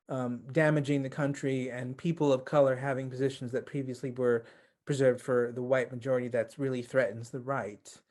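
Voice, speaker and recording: A man's voice: mid-range voice, darkish tone. A man interviewing as a podcast host, thoughtfully building up long questions in a conversational way.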